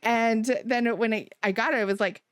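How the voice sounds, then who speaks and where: A woman's voice: on the high side, steady tone, normal speed, fairly bright tone, clear, resonant tone, no room sound, studio quality. A woman hosting a podcast, gushing with enthusiasm in casual chat. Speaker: podcast host.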